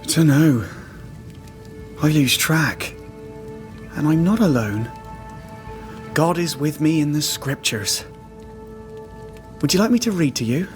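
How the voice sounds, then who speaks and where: An actor playing a haunted, starving trapper, simple, devout and loyal, speaking in plain rustic phrases in a game drama. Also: male voice, a little high, animated, faint music behind. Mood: weary, earnest. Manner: rustic dialect phrasing, plain halting sentences. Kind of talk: dramatic video game cutscene acting.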